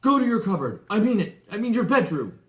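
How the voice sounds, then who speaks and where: Man doing a loud, blustering bossy uncle. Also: sits high, sing-song, fairly quick, roomy sound, voice pushed up. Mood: exasperated, wheezing. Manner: snapping, blustering. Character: bad-tempered, bossy middle-aged uncle barking orders.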